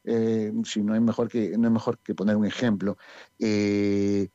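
Director de teatro peruano, acento peruano, voz grave y oscura, titubeante; sonido seco. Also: male voice, lowish voice, completely flat delivery, measured pace, warm tone, dry recording, dull audio. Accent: Peruvian Spanish. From Peru. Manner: hesitant, many fillers and restarts. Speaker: community theatre director. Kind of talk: radio interview.

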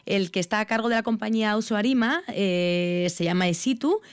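Presentadora de radio, tono medio, entrevista atenta, grabación seca. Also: female voice, medium pitch, a little fast, smooth, no echo, clean studio sound. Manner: attentive, frequent short backchannels. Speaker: radio host. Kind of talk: radio interview.

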